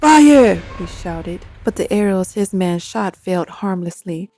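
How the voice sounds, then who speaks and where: A woman, the author, narrating a dark fantasy story in a steady, expressive reading style. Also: on the low side, unhurried, faint music behind.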